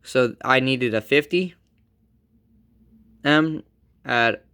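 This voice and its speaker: A man giving a casual, unscripted how-to as a hobbyist repairer, halting and informal as he thinks aloud. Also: mid-range voice, bright, forceful tone, smooth. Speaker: hobbyist electronics repair presenter.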